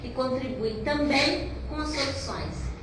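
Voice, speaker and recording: A woman's voice: sits high, a little slow. A woman in a group discussion who makes her points with emphatic, rhythmic repetition. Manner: emphatic, repeats words for rhythm. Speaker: discussion participant. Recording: echoey room, distant mic, noisy.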